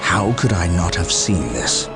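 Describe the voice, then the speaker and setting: An actor playing a grave, guilt-ridden space marine captain in a video game, speaking in a solemn, formal and deliberate way over music. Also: male voice, sits low, big pitch swings, full character voice. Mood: anguished, brooding. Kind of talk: dramatic video game acting.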